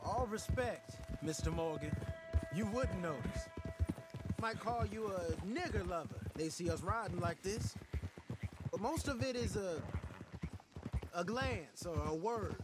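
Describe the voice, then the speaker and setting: A man playing an eager, sharp young outlaw in a Western game, speaking in an earnest, folksy way. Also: high-pitched, expressive, a little slow, pauses often, loud music behind the voice. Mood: frank, serious. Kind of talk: video game cinematic acting. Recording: clearly noisy outdoor game ambience.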